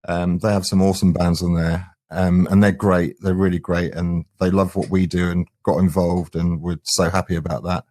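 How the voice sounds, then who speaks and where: A man's voice: low voice, level tone, normal speed, hardly any pauses, warm tone. A man chatting earnestly and enthusiastically as an interview guest from a band. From England, UK. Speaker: band singer and guitarist, guest. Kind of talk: casual interview chat.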